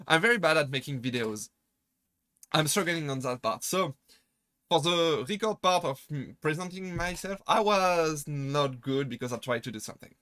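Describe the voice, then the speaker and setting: A man with a French accent, chatting casually on a live stream and rambling through halting restarts and self-deprecating asides. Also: fairly high pitch, bright, forceful tone, dry recording, studio quality. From France. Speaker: VTuber streamer.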